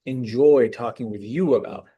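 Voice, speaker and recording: A man in casual video call chat, judging cold emails, relaxed and full of fillers as he thinks aloud. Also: medium pitch, moderate pace, breathy edge, muffled recording. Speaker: judge reviewing cold emails.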